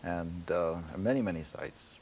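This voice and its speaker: An older man from China, a guest professor, explaining at a measured pace in a radio interview. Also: deep voice, darkish tone. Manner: measured, explanatory, with small laughs. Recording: faint background noise.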